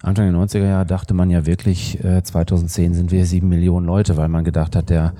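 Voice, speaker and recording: Man, very dark tone, monotone, hesitant filler-heavy speech. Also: low-pitched. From Münsterland, Germany. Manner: halting, many fillers and restarts. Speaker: architect guest. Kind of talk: casual interview talk.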